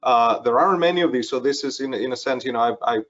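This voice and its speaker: A man's voice: mid-range voice, quick pace, fairly bright tone. Male panelist from Greece, hesitant starts; dull, muffled video-call audio. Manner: repeats words when starting, hesitant. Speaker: research center panelist. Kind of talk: panel discussion.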